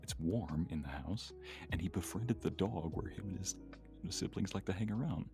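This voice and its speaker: Male streamer guest, deep voice, easygoing anecdote style over music. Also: normal speed, creaky. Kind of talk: casual chat and anecdotes.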